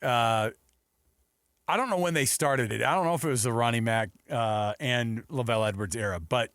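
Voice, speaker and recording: A man's voice: medium pitch, moderate pace, a bit bright, no room sound. Casual male sports radio host, dry studio sound.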